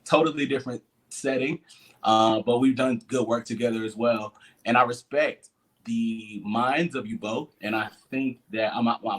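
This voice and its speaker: A man hosting an interview, speaking haltingly with many pauses. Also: lowish voice. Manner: halting, with many ums and restarts. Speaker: host. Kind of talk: interview host.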